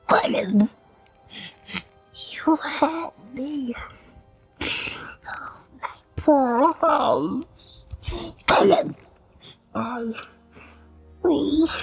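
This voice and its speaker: A wretched, hissing creature for an audiobook, lurching from breathy whispers to shrieks. The voice sits high and gravelly and crawls along slowly. Faint music hums behind it. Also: female voice, full character voice. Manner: swings wildly between whimpering and shrieking. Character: wretched, split-minded male creature, hissing and whining. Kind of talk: audiobook character voice.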